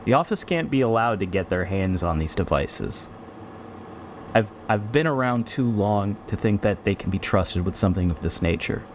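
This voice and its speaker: A man's voice: fairly low pitch, animated, moderate pace, slight background noise. Man acting as a defiant, weary military commander. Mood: guarded, urgent. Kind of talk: audio drama acting.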